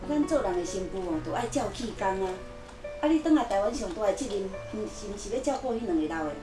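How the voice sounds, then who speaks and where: Actress as older taiwanese grandma, talks really fast, chiding but doting, echoey room w music. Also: female voice, mid-range voice, animated, close to an everyday voice. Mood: reproachful. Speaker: actress in a family drama. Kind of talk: naturalistic TV drama acting.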